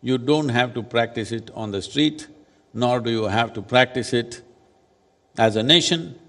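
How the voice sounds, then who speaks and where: A man in his sixties, seated on stage at a summit, answering questions in a measured, deliberate and firm way. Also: mid-range voice, a bit bright. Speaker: spiritual leader speaking as summit panelist. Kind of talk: onstage panel answer. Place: large conference hall stage. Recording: reverberant conference hall.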